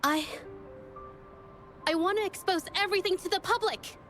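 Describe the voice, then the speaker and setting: An actress playing a shy but resolute young female painter who speaks earnestly and haltingly. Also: extremely high pitch, a bit bright, faint music behind. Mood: determined. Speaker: accuser in court.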